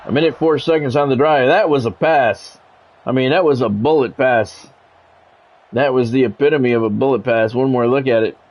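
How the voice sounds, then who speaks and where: A man's voice: mid-range voice, moderate pace, darkish tone. A middle-aged man calling a football video game like a radio play-by-play announcer, in clipped phrases with informal asides. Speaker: gamer and play-by-play commentator.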